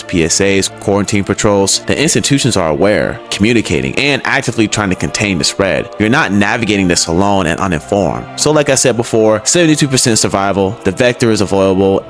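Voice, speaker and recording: A man's voice: lowish voice, brisk, few pauses. A man hosting a scripted explainer, talking directly and conversationally to the viewer. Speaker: video essay host. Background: background music.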